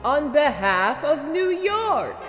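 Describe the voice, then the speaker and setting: City mayor, female actor: stately, high, lively-pitched ceremonial address, ringing with echo, audio drama. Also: slow pace, over loud music, heavily acted. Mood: proud, ceremonial. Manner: stately, proclaiming. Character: dignified female city mayor giving tribute. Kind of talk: formal ceremonial speech, acted.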